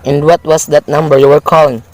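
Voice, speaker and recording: A dismissive police desk officer played by a man with a fairly high voice. He sounds matter-of-fact and patronizing, placating the caller without hurry, in amateur radio play style. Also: little pitch movement, fairly quick, darkish tone, lightly acted, poor audio. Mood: routine, detached.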